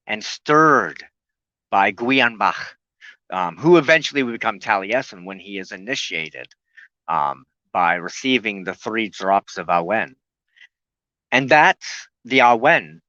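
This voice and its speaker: A man giving an earnest, unscripted spoken teaching as a broadcast host, reflective and halting, often pausing in the middle of a sentence. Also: on the low side, sharp tone, no room sound, lo-fi sound.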